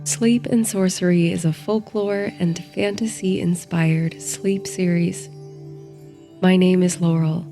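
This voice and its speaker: A woman narrates a bedtime story with a mellow, relaxed tone and a slightly low voice. Her delivery is gentle and very unhurried, guiding the listener toward sleep. The pitch stays very even, with music underneath.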